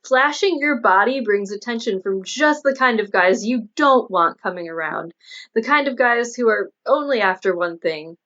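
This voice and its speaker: A woman's voice: fairly high pitch, animated, normal speed, fairly bright tone. A woman voicing a matronly older policewoman in an audiobook, giving motherly, admonishing advice. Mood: motherly warning. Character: matronly, cautioning older policewoman, veteran investigator. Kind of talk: audiobook character dialogue. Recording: dull lo-fi audio.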